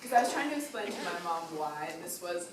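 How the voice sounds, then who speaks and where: A woman speaks up from the audience with a somewhat low voice, hedging her words as she asks. She sounds distant in a very echoey hall with clear background noise. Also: big pitch swings, fairly quick. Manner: hesitant, hedging. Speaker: audience member asking a question. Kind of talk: question from the floor. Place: conference hall.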